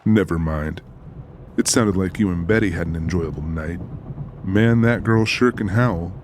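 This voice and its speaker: A man's voice: deep voice, a little slow, noisy background. An actor playing a dry, wry, plainspoken country man in an audiobook drama. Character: Joe, dry, easygoing country man, anxious but decent.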